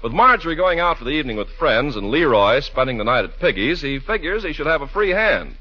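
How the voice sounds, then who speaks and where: A man's voice: on the high side, big pitch swings, a little fast, husky. A man announcing in scripted 1940s radio style, reading narration and advertising. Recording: muffled vintage 1940s radio recording.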